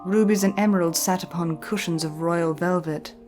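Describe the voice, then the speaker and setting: A woman with a slightly low voice narrates a dramatic tale. Her phrasing is deliberate and measured, like a scripted audio story. Soft music runs behind her. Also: fairly even intonation.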